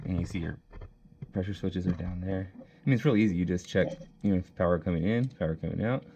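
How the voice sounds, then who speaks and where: A man's voice: deep voice, fast talker, creaky, highs cut off, background noise. A man teaching HVAC troubleshooting at a workshop bench, talking casually step by step and thinking aloud as he tests a circuit. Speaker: HVAC technician instructor. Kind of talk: hands-on troubleshooting demo. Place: workshop bench with a test board.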